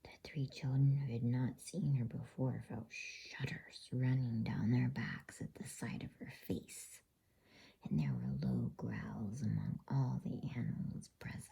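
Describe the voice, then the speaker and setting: A woman reading a bedtime story in ASMR style, with a hushed, breathy, husky whisper and an unhurried manner. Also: very deep voice, animated.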